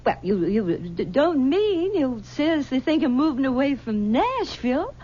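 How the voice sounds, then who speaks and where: A woman's voice: sits high, big pitch swings, warm tone. An actress playing a possessive, aging mother who coaxes and stammers, in a 1970s radio drama. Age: older. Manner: coaxing and possessive, halting with stammers. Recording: dull 1970s radio broadcast.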